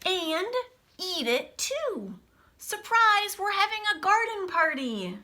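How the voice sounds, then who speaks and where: A woman teacher reading a picture book aloud to children, expressively, with casual, hushed explanatory asides. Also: very high voice, takes it slowly. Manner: animated storybook delivery, dropping to hushed asides.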